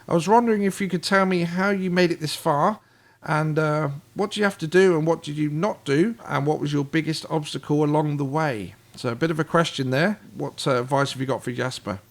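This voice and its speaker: A man's voice: on the high side, a bit bright. A man hosting an interview, reading out fan questions in an upbeat, friendly way.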